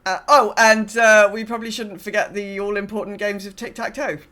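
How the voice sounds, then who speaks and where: A woman's voice: medium pitch, flat delivery, fairly quick, fairly bright tone. A woman co-hosting a casual gaming podcast, speaking in a wry, understated and somewhat hesitant way. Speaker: podcast co-host.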